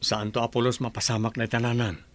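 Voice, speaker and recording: A man's voice: medium pitch, flat delivery, quick pace, fairly bright tone, no echo, close to an everyday voice. A man with an Ilocano accent playing a respectful, humble businessman in a radio drama. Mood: respectful, earnest.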